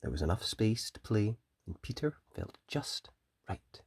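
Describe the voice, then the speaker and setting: A children's story reader and host, narrating a picture book in a gentle, deliberate and expressive way. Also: medium pitch, husky, no room sound, studio quality.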